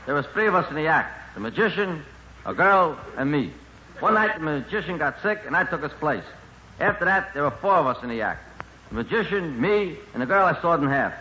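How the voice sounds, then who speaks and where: A man delivering deadpan one-liners as a comedian on a 1950s radio broadcast. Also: on the high side, fairly quick. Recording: muffled, echoing old radio with faint noise.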